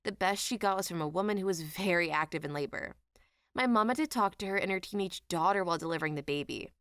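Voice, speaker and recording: Female narrator for read-aloud online stories, voice a bit low, no room sound. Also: quick pace, fairly bright tone, clear, resonant tone, dry recording, clean studio sound. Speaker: narrator of online story compilations. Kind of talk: scripted narration, reading out anecdotes.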